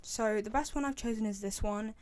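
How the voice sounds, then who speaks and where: A woman's voice: fairly high pitch, brisk, a little mellow. A young woman giving casual, enthusiastic, informal commentary as the host of a video game fan video.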